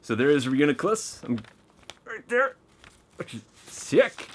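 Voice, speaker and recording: A man casually opening fan mail on camera, chatty and excitable, reading letters aloud and stumbling over his words now and then. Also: on the high side, takes it slowly.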